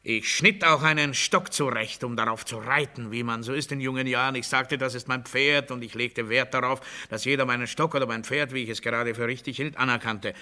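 An actor playing a menacing, self-righteous authoritarian orator in a satirical radio play monologue, declaiming pompously with emphatic rhetorical delivery. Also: male voice, mid-range voice, steady tone, fairly quick, hardly any pauses, sharp tone. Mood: smug reminiscing.

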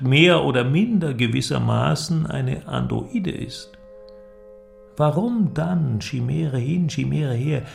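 An actor playing an eloquent, persuasive inventor in a radio drama, with a declamatory, deliberate delivery and ornate phrasing. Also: male voice, mid-range voice, gravelly, heavily acted. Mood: rhetorical, urging. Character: eloquent visionary male inventor, grandiose and persuasive. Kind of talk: German literary radio drama acting.

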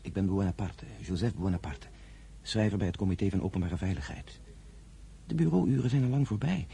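A man's voice: sits low, fairly quick, creaky, warm tone, husky, heavily acted. A man playing a polite, officious young government clerk in a radio drama, speaking formally and gallantly. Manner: formal, courteous.

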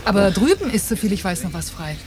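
An actress playing a friendly, informal young holiday acquaintance in a naturalistic radio drama. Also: female voice, mid-range voice, sing-song, fast talker, close to an everyday voice. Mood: helpful. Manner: informal, switches into Portuguese to interpret. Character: friendly, casual young woman, helpful German holiday acquaintance. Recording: noisy cassette tape of radio play.

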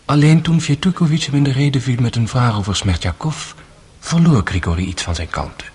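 An actor playing a brooding man who narrates a family story in a radio drama, measured and reflective. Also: mid-range voice, animated, a little mellow, breathy edge.

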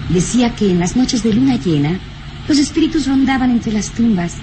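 A woman's voice: on the high side, expressive, normal speed, natural voice. A woman playing a coquettish, sweet young lady in an old radio serial. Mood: uneasy. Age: young adult. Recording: slightly noisy old radio recording.

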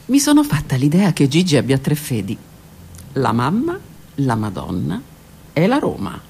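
A woman's voice: extremely low pitch, wildly swooping pitch, normal speed, gravelly, darkish tone, slight background noise. A woman performing a theatrical monologue for radio, intimate and reflective, moving between narration and direct address. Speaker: actress and theatre teacher.

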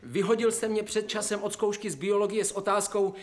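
A male actor plays an eager young reporter in a radio drama. His voice is high, and he addresses his betters formally, pressing on with deferential questions. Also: fairly even intonation, soft background music, natural voice. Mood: eager, pleading. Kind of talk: radio play acting.

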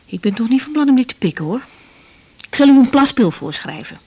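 A woman's voice: sits high, animated, fairly quick, darkish tone, slightly rough, heavily acted. An actress playing a caring but easily indignant young woman doctor in a naturalistic radio drama, speaking with hesitant, broken phrases. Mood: concerned, businesslike. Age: young adult.

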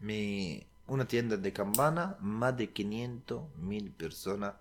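A young Syrian man whose first language is Arabic, speaking halting Spanish with an Arabic accent, searching for words as he tells his story to an interviewer. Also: on the low side, lively intonation. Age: young adult. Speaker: guest.

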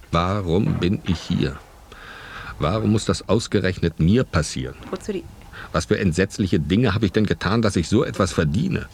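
A man's voice: deep voice, gravelly, darkish tone, a little hiss or hum. An actor playing a bewildered, put-upon family man telling his plight in a radio play monologue, sardonic and exasperated. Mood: fearful, despairing. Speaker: actor narrating in first person. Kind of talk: dramatic first-person audio play monologue.

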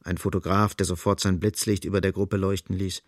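A low male voice narrates a literary radio drama. He is sober and deliberate, setting down short sentences with emphasis. The sound is dry and studio-close. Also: flat delivery, no room sound. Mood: grave, insistent.

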